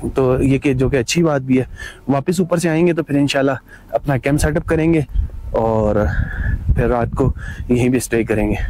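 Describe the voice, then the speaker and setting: A man's voice: mid-range voice, a little mellow, poor audio. A man vlogging his mountain trip, chatty and enthusiastic, talking to viewers on a trail outdoors. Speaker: travel vlogger. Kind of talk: casual travel vlog narration.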